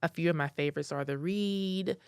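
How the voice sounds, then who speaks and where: Brief: woman co-host with a very low voice, playful and upbeat, dry studio sound. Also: brisk, clear, resonant tone, no echo. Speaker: podcast co-host. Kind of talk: casual podcast chat.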